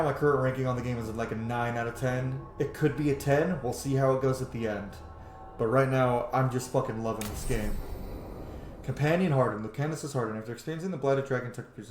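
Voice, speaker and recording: A man giving casual, opinionated gameplay commentary in informal, sweary language. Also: mid-range voice. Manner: profane, informal. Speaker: video game streamer giving commentary. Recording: roomy echo, faint game music behind. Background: faint game music.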